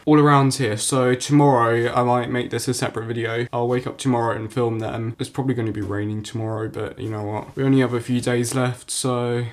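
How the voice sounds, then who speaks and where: A teenage boy, hoarse and stuffy-nosed from a cold, chatting casually to camera in a small bedroom. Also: medium pitch, fairly even intonation, a little fast, few pauses. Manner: rambling, chatty, lots of fillers. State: hoarse from a cold, blocked nose. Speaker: vlogger. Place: small holiday cottage bedroom, indoors.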